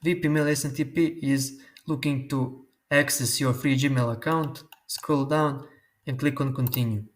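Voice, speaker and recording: Man explaining software steps. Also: medium pitch, steady tone. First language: Croatian. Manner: slow, step-by-step instructions. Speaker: tutorial host. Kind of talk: screen-recorded software tutorial.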